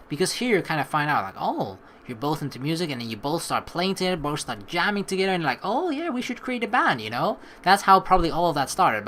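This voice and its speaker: A man's voice: a little high, slight background noise. A young man giving chatty let's play commentary, reading game text aloud and reacting to it. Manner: animated, chatty, reacting as he reads.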